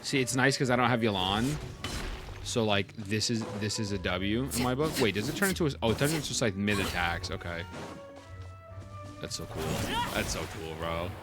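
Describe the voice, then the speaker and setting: Young man, low voice, slangy, repetitive, casual game reaction commentary, clear music behind. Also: lively intonation, normal speed. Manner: slangy, repeats phrases. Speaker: streamer.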